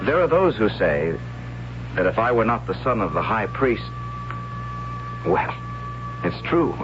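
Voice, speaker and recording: Lowish-voiced, artless young radio innocent. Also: male voice, sing-song, muffled recording. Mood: wistful, artless. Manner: hesitant, artless, halting. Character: simple, cheerful, inept young son of high priest. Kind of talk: 1970s radio drama acting. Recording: band-limited old radio broadcast, loud music bed.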